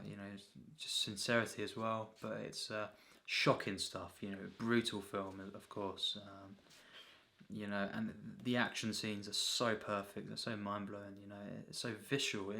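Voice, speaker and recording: A man giving an unscripted, rambling film review as a reviewer, in a halting, repetitive way full of filler phrases. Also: lowish voice, little pitch movement, pushed and bright.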